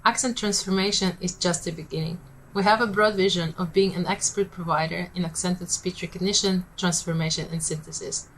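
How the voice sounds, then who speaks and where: Founder, female, Polish accent, lowish voice, measured rehearsed pitch delivery. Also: fairly even intonation, a bit bright, slight background noise, rough recording.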